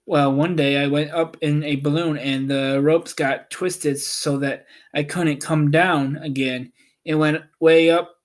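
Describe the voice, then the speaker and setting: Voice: medium pitch, natural voice. A man voicing an apologetic little humbug wizard who explains himself in a storybook reading.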